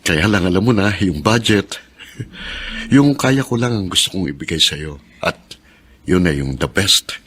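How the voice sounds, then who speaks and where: A tender husband in a radio drama. His deep voice has a bright edge, affectionate and reassuring, with faint noise behind. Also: lively intonation, unhurried. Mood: tender teasing. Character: tender, devoted middle-aged husband, gently teasing. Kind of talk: Filipino radio drama acting.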